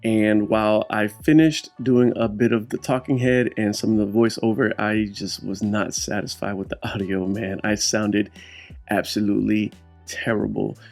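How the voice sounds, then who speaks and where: A man's voice: fairly low pitch, steady tone, moderate pace, vocal fry, a little mellow, faint music behind. A man hosting his own vlog, talking through his street photos in a casual, explanatory voiceover with frequent fillers. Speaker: street photographer and channel host.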